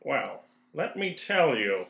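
A man's voice: medium pitch, big pitch swings, slow pace, creaky, fairly bright tone, heavily acted. A man playing an earnest, indignant young stage performer in a radio play. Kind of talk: old-time radio play acting. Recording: roomy, echoing recording.

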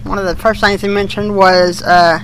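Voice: mid-range voice, little pitch movement, normal speed, poor audio. A young podcast co-host chatting casually and giving opinions in an informal discussion. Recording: faint background noise.

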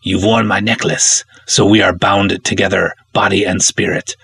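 A man's voice: deep voice, flat delivery, normal speed, gravelly, fairly bright tone, breathy edge, dry recording, heavily acted. A wicked undead princess of ancient Egypt, acted out by a male reader, speaks with haughty menace. She is a pulp storybook villainess, imperious and murderous, heard close and dry. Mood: haughty, menacing. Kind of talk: pulp adventure audiobook character voice.

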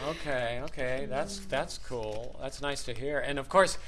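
A man's voice: mid-range voice, measured pace, a bit bright, a little hiss or hum. A man hosting an English discussion podcast, chatty and joking, with lots of fillers. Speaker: podcast host and English teacher. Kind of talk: casual group discussion with learners.

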